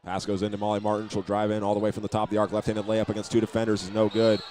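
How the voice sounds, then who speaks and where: A man giving steady, methodical live play-by-play commentary of a high school basketball game. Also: rapid-fire. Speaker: play-by-play announcer. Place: indoor school basketball gym. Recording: live gym broadcast with clear background noise.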